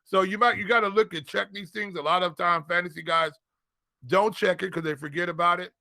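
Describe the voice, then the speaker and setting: A male sports co-host with a bright, forward voice pitched a little high. He chats casually and colloquially, addressing listeners directly, and the sound is dry with little room to it. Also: steady tone, a little fast, vocal fry, no room sound. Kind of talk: casual fantasy football chat.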